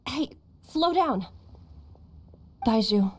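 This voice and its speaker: A woman's voice: a little high, lively intonation, extremely slow, heavily acted. A woman playing a weary middle-aged doctor and mother in a video game, speaking earnestly and with measure. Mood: tender, concerned.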